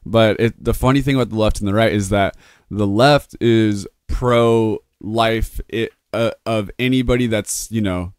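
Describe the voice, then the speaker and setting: Low, smooth-voiced man in his twenties. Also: measured pace, no room sound, clean studio sound. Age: 20s. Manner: rambling, swears freely, laughs often. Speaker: podcast host. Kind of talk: casual chat.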